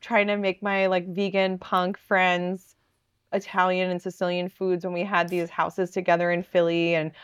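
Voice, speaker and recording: A woman's voice: fairly low pitch, little pitch movement, moderate pace, clean tone, dry recording, studio quality. A woman in her thirties from northern New Jersey, a podcast guest who talks in a chatty, self-deprecating way and laughs often. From northern New Jersey, USA. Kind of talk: casual podcast interview.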